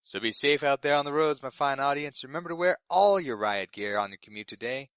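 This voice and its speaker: A man's voice: medium pitch, fairly quick, fairly bright tone, smooth, no echo, lightly acted. A man playing an earnest young radio intern. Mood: earnest, tentative. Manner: polite, earnest delivery of absurd news. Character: nervous, eager young male radio station intern. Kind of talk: scripted fiction podcast in community radio broadcast manner.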